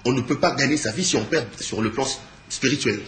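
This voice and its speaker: A man with a Congolese French accent hosts a television interview in a medium-pitched voice. He addresses guest and viewers haltingly, often repeating a word while searching for the next. Faint noise sits behind him. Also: a bit bright. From Democratic Republic of the Congo. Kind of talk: spoken TV hosting and interviewing.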